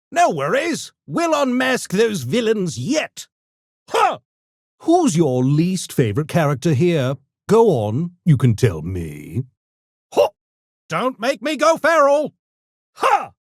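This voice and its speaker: An actor voicing a smug, erudite genius gremlin for a video game, with pompous, mock-genteel, theatrical diction that tips into gleeful mania. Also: male voice, sits high, no room sound, clean studio sound, exaggerated voice. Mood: haughty, amused, then manic, shouting. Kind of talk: video game character voice lines.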